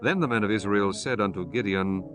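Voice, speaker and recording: A man giving a measured, solemn scripted narration of scripture. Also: lowish voice, music in the background.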